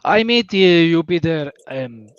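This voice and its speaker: A man's voice: a little high, expressive, a little slow, clean tone, no room sound, muffled recording. A man from southern Spain with a Spanish accent, a native Spanish speaker talking as a guest on a community call, searching for English words with eager enthusiasm. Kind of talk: casual call talk.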